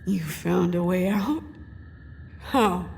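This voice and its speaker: A woman's voice: on the low side, takes it slowly, vocal fry, a little mellow, slight background noise. A woman acting in an audio drama as an anxious, weary, earnest young traveler, hesitant and plaintive. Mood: shaken.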